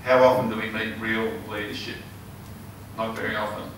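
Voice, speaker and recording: An older Australian man with a hoarse, failing voice, giving an earnest campaign speech full of ums and uhs. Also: fairly low pitch, little pitch movement, moderate pace, a bit bright. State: hoarse, losing his voice. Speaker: election candidate. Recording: echoey hall, mic far off, noisy.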